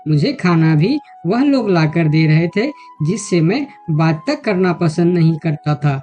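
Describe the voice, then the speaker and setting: A woman's voice: lowish voice, expressive, a little slow, dark tone, soft background music. A woman voicing an emotional, easily hurt male deer in a children's cartoon story. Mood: angry, hurt. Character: hurt, angry male deer, later grateful friend.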